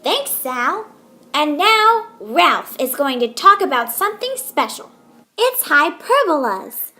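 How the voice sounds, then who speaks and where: A woman with a high, bright voice presents a scripted lesson. Her pitch moves about as she recites each step. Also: sing-song, slow pace.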